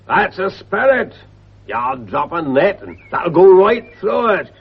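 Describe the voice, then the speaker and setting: An actor playing a superstitious, stubborn old country father with an English rural accent, grumbling and husky, in a 1950s British radio drama. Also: high-pitched, very animated, full character voice. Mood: ominous warning. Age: older. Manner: slow, grumbling rustic talk. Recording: muffled vintage radio recording.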